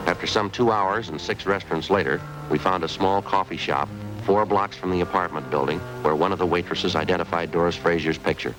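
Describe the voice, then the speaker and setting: A man's voice: deep voice, brisk, close to an everyday voice, rough recording. An actor playing a terse male homicide detective who narrates the case in an old radio drama. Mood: narrating. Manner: flat, clipped, matter-of-fact. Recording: old radio recording with music.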